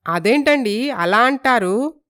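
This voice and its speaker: A woman voicing an excited, doting middle-aged mother-in-law in a cartoon story, gushing and fussy. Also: fairly high pitch, takes it slowly. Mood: defensive.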